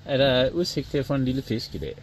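Lively Danish man chatting outdoors over noise. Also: mid-range voice, creaky. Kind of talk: casual chat while fishing. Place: open motorboat at sea. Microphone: someone else's handheld phone. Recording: noisy outdoor handheld phone recording.

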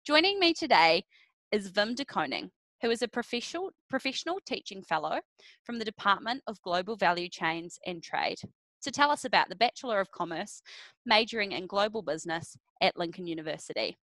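Young woman host, upbeat, bright voice, dry headset sound. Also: medium pitch, expressive, a little fast, no echo, rough recording. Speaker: student liaison officer, interviewer. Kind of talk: scripted interview questions. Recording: dry close headset audio.

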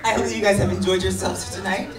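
A man's voice: high-pitched, level tone, quick pace, music in the background. A man working as a drag performer, hosting a live show with sassy, campy, playful banter to the audience. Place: small dark bar with stage, indoors. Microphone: handheld stage mic through speakers, filmed from audience. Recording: roomy, distant camera audio, loud crowd noise.

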